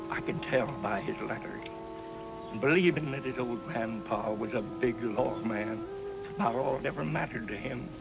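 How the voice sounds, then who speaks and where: Elderly miner grandpa, folksy American drawl, regretful, vintage TV Western, background music. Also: male voice, mid-range voice, gravelly, rough recording. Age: older. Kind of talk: 1950s TV Western acting.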